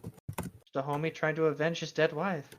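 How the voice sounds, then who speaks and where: A man's voice: fairly high pitch, little pitch movement, moderate pace, noisy background. A man co-hosting a casual fan fiction read-aloud, slipping between mock dramatic reading and sarcastic, slangy asides.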